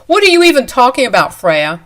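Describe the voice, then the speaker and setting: A woman voicing a loud, domineering husband. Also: lowish voice, expressive, moderate pace. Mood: defensive. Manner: loud and demanding. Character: angry domineering husband, entitled and scornful.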